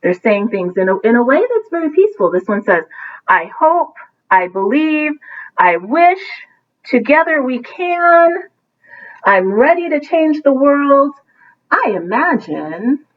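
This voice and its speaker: A woman's voice: sits high, very animated, a little slow. A woman reading a picture book aloud to children like a teacher, with encouraging asides that explain things simply. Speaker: teacher reading a bedtime story. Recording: thin, phone-like narrowband sound.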